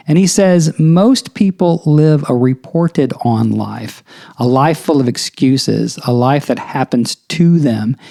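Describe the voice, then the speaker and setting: A man's voice: on the high side, dark tone. A middle-aged man hosting a podcast, earnestly exhorting songwriters with rhetorical questions in a motivational monologue. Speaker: podcast host and songwriting coach.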